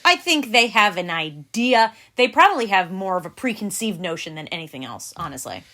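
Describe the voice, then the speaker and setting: A woman in her forties co-hosts a casual podcast with her husband. She talks in a bubbly, playful way, and her voice is bright and forceful. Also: mid-range voice, big pitch swings. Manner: bubbly, playful, quick to laugh. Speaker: podcast co-host. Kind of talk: casual chat.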